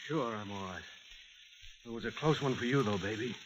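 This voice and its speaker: An actor playing a tough, protective federal agent in a 1940s radio thriller. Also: male voice, on the low side, normal speed, lightly acted. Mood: tough, tender. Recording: muffled, hissy 1940s radio recording.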